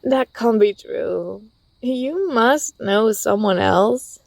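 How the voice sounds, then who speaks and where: Woman playing teasing young female friend, soft ASMR roleplay, gentle, coaxing, mellow tone, close dry sound. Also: a little high, lively intonation, drawn-out words, creaky, no echo, exaggerated voice. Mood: surprised, guilty.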